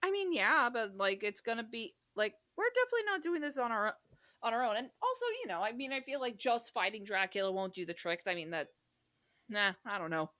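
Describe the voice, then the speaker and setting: A woman's voice: a little high, lively intonation, brisk, sharp tone. A woman co-hosting a podcast in casual, playful, teasing banter. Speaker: podcast co-host.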